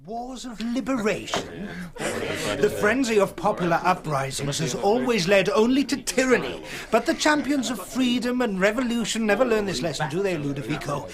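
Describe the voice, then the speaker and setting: An actor playing a mocking, provocative reactionary intellectual who sneers rhetorically in a radio drama. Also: male voice, high-pitched, expressive, moderate pace, a crowd in the background.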